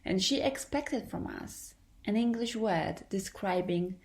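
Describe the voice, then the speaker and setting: A woman's voice: mid-range voice, expressive, takes it slowly, a little mellow. A young adult woman with a Polish accent, an English teacher talking through her solo podcast in a careful, earnest and slightly formal way.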